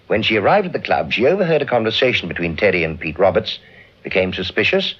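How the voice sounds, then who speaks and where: An actor playing an authoritative, avuncular British senior investigator in an old radio drama. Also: male voice, fairly low pitch, animated, fast, fairly bright tone, husky, dull audio. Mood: measured, explaining. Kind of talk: mid-century British radio drama acting.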